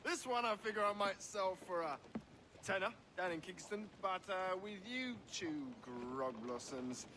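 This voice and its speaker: An actor playing a sly, sneering pirate turncoat who drawls and taunts smugly. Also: male voice, high voice, expressive, a little slow, pushed and bright, full character voice. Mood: smug, taunting. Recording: noisy sound behind the voice.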